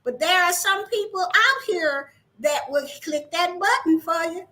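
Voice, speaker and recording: A woman's voice: extremely high pitch, measured pace. A woman business owner in a casual chat, teasing her friend and laughing often as she tells stories. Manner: laughing, teasing, animated storyteller.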